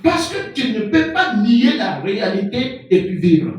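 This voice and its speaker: A man preaching a sermon as pastor, animated and full of everyday examples. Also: sits high, unhurried. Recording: echoey hall from far off with music.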